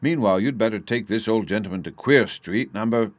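A man's voice: fairly low pitch, a little fast, no room sound, lightly acted. A man voicing a dignified, good-natured young army officer in a storybook, courteous, amused and jovial.

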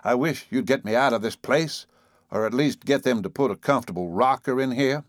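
A man's voice: mid-range voice, brisk, no echo, clean studio sound, voice pushed up, lightly acted. A man voicing a querulous old man of about seventy with a cracked, weak, whining voice in a storybook reading. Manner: querulous, complaining. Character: querulous ancient old man, newly born.

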